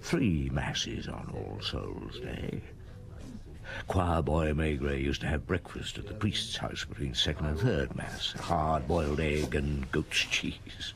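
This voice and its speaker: An actor playing a middle-aged chief inspector, reflective and measured, in a British radio drama style. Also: male voice, very deep voice, lively intonation, vocal fry, a crowd in the background, full character voice. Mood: musing. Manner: measured, musing, clipped sentences. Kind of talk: British radio drama acting, with interior monologue. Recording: old radio broadcast with background bustle.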